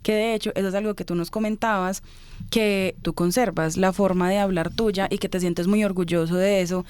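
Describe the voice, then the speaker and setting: A woman from Colombia hosting a podcast interview, asking measured questions and affirming her guest. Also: medium pitch, a little mellow, smooth. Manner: attentive, affirming interjections, formal phrasing.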